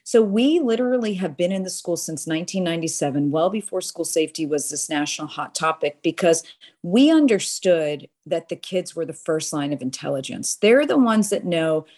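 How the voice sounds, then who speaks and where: A woman in her forties from Boston, a guest on an interview podcast, talking earnestly and with enthusiasm in long run-on stretches and quick restarts. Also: fairly low pitch, expressive, a little mellow. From Boston, USA. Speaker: guest, nonprofit crime-prevention leader.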